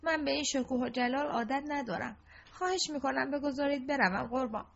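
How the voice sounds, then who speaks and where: A woman voicing a frightened poor boy who is taken for a prince, pleading in a high anxious voice on a dull lo-fi recording. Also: creaky.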